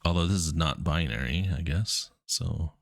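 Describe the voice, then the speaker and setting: A man's voice: a bass voice, moderate pace, no room sound, studio quality. A man with a cold and a cough drop in his mouth, casually reading puzzle text and thinking aloud. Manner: casual, relaxed, many ums. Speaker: coding streamer.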